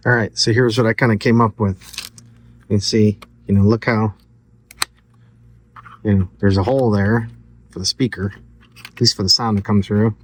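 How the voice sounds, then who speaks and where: A man with a fairly low voice hosts a hands-on electronics build. He talks casually and thinks aloud as he goes, with loose, offhand phrasing. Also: steady tone, moderate pace, pauses often. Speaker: electronics hobbyist host. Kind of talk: casual DIY project walkthrough.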